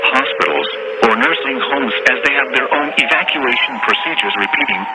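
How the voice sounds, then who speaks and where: A man reading a scripted emergency broadcast in a formal, flat, automated-sounding delivery through a radio filter. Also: mid-range voice. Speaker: emergency alert announcer. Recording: very noisy, muffled radio broadcast.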